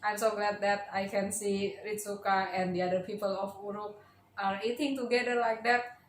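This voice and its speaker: A woman's voice: medium pitch, level tone, moderate pace. A woman giving casual commentary as the host of a reaction video. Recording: roomy echo, noticeable background noise.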